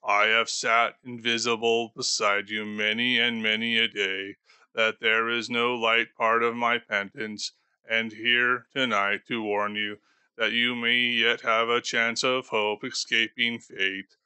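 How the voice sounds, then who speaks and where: A man voicing a doomed, grieving male ghost bound in chains, speaking slowly and gravely for an audiobook. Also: medium pitch, flat delivery, sharp tone, no echo. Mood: mournful. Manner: slow, solemn and grave. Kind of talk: audiobook character voice.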